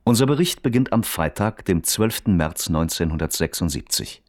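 A man's voice: low-pitched, animated, moderate pace, a little mellow. A man playing a radio reporter who narrates a crime case in a formal, precise, matter-of-fact documentary style. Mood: sober, factual.

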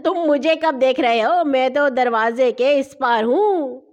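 A woman voicing a brash, impatient male robber in a storybook reading, loud and demanding. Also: very high voice, muffled recording. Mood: teasing, impatient.

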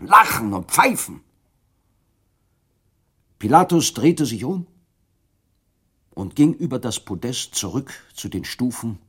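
A man narrates a radio drama with dramatic, vivid storytelling. His voice is somewhat husky, at a middle pitch, and it swings widely up and down as he builds the scenes.

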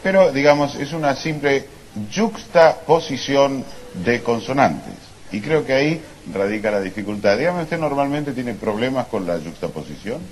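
A man's voice: mid-range voice, expressive, normal speed, close to an everyday voice. A man playing a fussy, mock-learned language scholar in a live stage comedy sketch. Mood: pedantic. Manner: mock-learned, overly formal. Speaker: comic stage performer. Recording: live stage with background noise.

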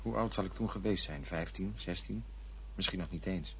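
An actor playing a defensive, wistful man in a Dutch radio play, touchy and drifting into lyrical memories, with a husky voice. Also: sits low, moderate pace, fairly bright tone, full character voice. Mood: wistful reminiscing. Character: defensive, wistful man looking back on lost loves. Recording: dull, lo-fi radio play recording.

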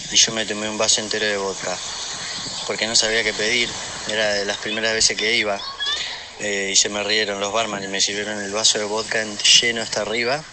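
A man with an Argentine accent and a lowish voice recounts a personal story casually. He hesitates and uses plenty of colloquial turns. It is a voice message, with clearly noisy surroundings behind him. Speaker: listener sending an audio message. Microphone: phone voice message. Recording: voice message with loud background noise.

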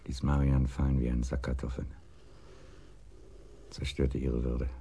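An actor playing a timid, bitter betrayed husband in a radio crime drama, speaking haltingly and heavily, with hushed trailing phrases. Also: male voice, a bass voice, completely flat delivery, slightly rough, exaggerated voice. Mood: bitter, broken. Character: broken, bitter cuckolded husband, timid and brooding.

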